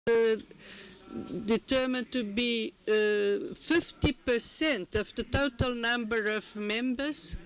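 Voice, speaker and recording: Interpreter, male, very high and unhurried, halting with restarts. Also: fairly even intonation, fairly bright tone, slight background noise, rough recording. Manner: halting, with frequent restarts and fillers. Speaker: simultaneous interpreter. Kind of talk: interpreting a speech at a formal meeting.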